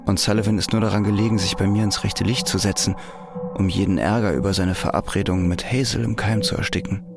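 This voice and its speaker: An actor playing an embittered, jealous prisoner and husband in a radio crime drama, with a gravelly, brooding delivery. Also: male voice, fairly low pitch, fairly even intonation, fast talker, over music. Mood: bitter, scornful.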